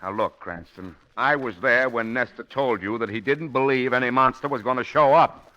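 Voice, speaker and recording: Brusque, forceful police commissioner played by a man. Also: medium pitch, normal speed, close to an everyday voice. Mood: exasperated. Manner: brusque, emphatic. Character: gruff, impatient male police commissioner, skeptical and demanding. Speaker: actor in radio drama. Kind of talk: 1940s radio acting. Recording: muffled vintage 1940s radio recording.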